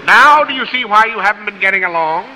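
A man's voice: high voice, expressive, fast, bright, forceful tone. A man playing the lead in a 1930s radio comedy sketch, flustered and exasperated. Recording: noisy old radio broadcast.

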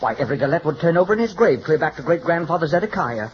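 A man's voice: on the high side, big pitch swings, rapid-fire, a crowd in the background. An actor playing a timid, apologetic little male hairdresser in a radio comedy, with a hesitant, fretful, stammering delivery. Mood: fretful, self-deprecating. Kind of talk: 1940s radio comedy acting. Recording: dull, noisy vintage radio recording.

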